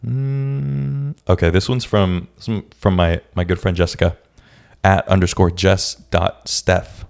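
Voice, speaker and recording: A man hosting a casual solo podcast, his voice a bit raspy today, rambling and often restarting his sentences. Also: low voice, steady tone, unhurried. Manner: rambling, restarts often, speaks in scattered bursts. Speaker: podcast host. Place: room at home with a street-facing window.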